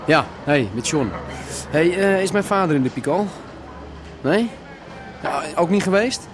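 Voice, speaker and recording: An actor playing a pushy, irritable son who is short of money, blunt and impatient, in a naturalistic radio drama. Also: male voice, on the high side, animated, unhurried, background noise. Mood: restless.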